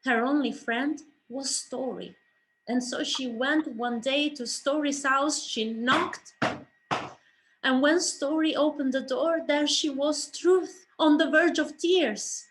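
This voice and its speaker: A woman with a high voice speaks English as a second language. She tells her tale like an oral storyteller, with expressive, theatrical delivery as part of a presentation. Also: slow pace, rough recording. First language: not English. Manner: theatrical, acting out dialogue. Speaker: speaker, writer and director.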